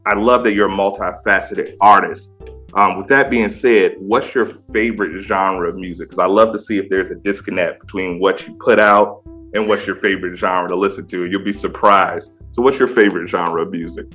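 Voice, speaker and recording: A man in his thirties with a deep voice, hosting a casual music interview and praising his guest effusively. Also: steady tone, moderate pace, fairly bright tone.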